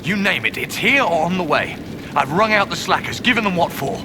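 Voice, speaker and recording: A man's voice: sits high, very animated, brisk, noisy background. An actor playing a fussy, officious, diplomatic male quartermaster in a fantasy video game, with a slightly hesitant manner. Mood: eager, proud.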